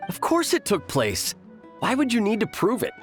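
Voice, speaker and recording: Stubborn tribesman, high lively voice, insistent, indignant, anime game, music underneath. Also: normal speed. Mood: defensive, insistent. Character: stubborn, defensive young tribesman, ardent believer in legends.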